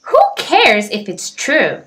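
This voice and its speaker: An excitable little storybook bird, voiced by a woman, chirps in a high, lilting voice. She gushes cheerfully and bounces all over the scale. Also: takes it slowly, full character voice. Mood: excited, cheerful. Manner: chirpy, gushing.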